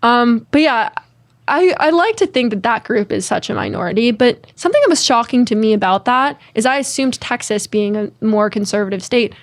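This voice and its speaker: A woman's voice: fairly high pitch, expressive, a little fast, no room sound. A young woman from Connecticut in her twenties, a guest on an interview show, answering in an earnest, upbeat way with frequent ums and restarts. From Connecticut, United States. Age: 20s. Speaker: guest, former college swimmer and advocate.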